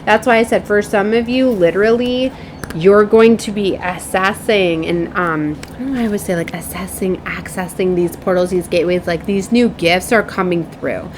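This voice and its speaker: A woman's voice: mid-range voice, moderate pace. A woman giving an informal, effusive spiritual card reading, drawing out key words for emphasis. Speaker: tarot and oracle card reader. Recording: clearly noisy background.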